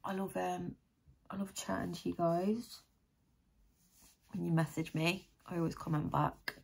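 A tired British woman talks in a casual, rambling way, as if chatting to her viewers from a room at home. Her voice sits on the low side, with a gravelly creak and a husky, croaky edge. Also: steady tone, unhurried, a little mellow, rough recording. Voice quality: deep, croaky. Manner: rambling, chatty, thinking aloud. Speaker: vlogger. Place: small room at home, indoors.

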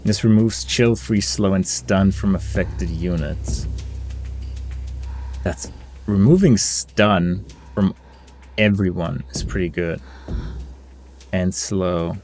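A man's voice: sits low, fairly even intonation, slow pace, long pauses, a little mellow. A man giving casual game commentary, musing and weighing his options aloud in a hesitant way. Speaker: gaming video creator. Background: game music.

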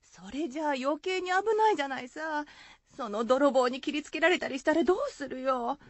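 A woman's voice: high voice, a little fast, no echo, natural voice. A woman playing an anxious, caring rustic wife in a folk-tale radio drama, fretful and questioning, with Shizuoka dialect. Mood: worried.

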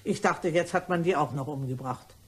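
A woman's voice: deep voice, normal speed, lightly acted. A woman playing a gossipy, blithely indiscreet cleaning woman in a German crime radio play, telling her tales in a chatty, dramatic way. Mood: alarmed, fretting.

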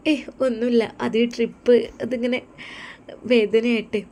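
A woman's voice: on the high side, little pitch movement, unhurried, mellow, voice pushed up. A woman voicing a devoted young wife who speaks in a sweet, earnest and pleading way. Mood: shy.

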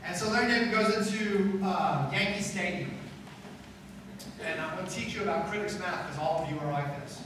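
A middle-aged male pastor preaching to a school audience in a slightly high voice, chatty and repetitive, building emphasis as he goes. He is picked up from across a large echoey hall with a long reverb tail. A steady room noise hums behind him. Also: normal speed, distant mic. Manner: conversational preaching, repeats phrases, rising emphasis. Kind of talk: chapel sermon to students. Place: school auditorium stage with seated audience. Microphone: far camera in hall.

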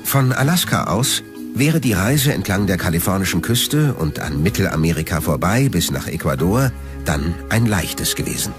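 A man narrates a documentary in a low-pitched voice. His delivery is measured and factual, yet his intonation moves in a lively way. Music plays behind the studio voice-over. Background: background music.

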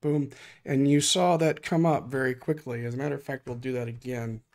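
Man, hoarse voice, casual tech demo, home desk, frequent fillers, mid-range pitch. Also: fairly even intonation, a little fast, darkish tone. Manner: talks through a live hardware demo with many fillers. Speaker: open-source hardware project lead.

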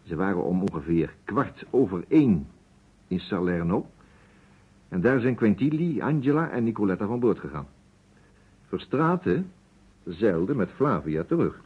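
An actor playing a courteous, precise private detective in a vintage radio play, musing deliberately and dropping in French phrases. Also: male voice, lowish voice, big pitch swings, slightly rough, full character voice. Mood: methodical. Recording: muffled phone-like old radio recording.

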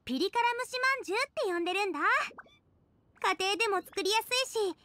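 Very high, bright teen girl. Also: expressive, brisk, no echo, heavily acted. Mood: cheerful, reminiscing. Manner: bubbly, casual girlish speech. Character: cheerful, friendly teenage girl cook. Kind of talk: Japanese game voice acting.

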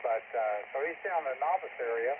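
A man's voice: medium pitch, animated, brisk. A man chatting casually as a ham operator over a two-way radio. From United States. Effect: two-way radio transmission. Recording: narrow, phone-like radio audio with static.

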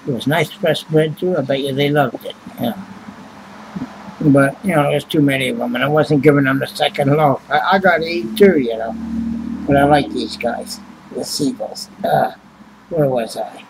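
Gravelly, swearing man. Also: on the high side, moderate pace, slight background noise, rough recording. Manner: rambling, swears a lot, reads prices off a receipt. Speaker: vlogger. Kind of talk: casual chat. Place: small home room indoors.

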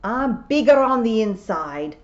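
A woman's voice: mid-range voice, sing-song, a little slow, a little mellow, voice pushed up. A woman voicing a proud, prickly ninety-six-year-old male pixie piper who scolds emphatically in dialect, on a slightly dull recording. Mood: indignant.

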